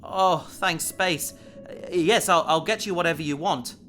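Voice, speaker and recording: A man's voice: on the high side, unhurried, bright, forceful tone. A man playing an earnest, dramatic young human who is turning part alien, hesitant and polite, in an audio drama. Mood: relieved, eager. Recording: faint music behind the voice.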